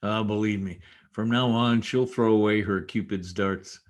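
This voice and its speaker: A man's voice: fairly low pitch, steady tone, normal speed, natural voice. A man playing a wry, easygoing newspaper reporter in a radio comedy, with a joking delivery. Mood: amused. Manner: wry, joking, hesitant asides. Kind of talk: old-time radio comedy acting. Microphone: video call.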